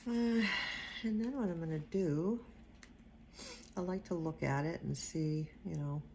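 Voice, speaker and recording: An older woman with a quiet, soft-spoken voice giving a casual painting tutorial, rambling and trailing off into mumbles as she works. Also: fairly low pitch, measured pace, slight background noise. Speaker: watercolor instructor.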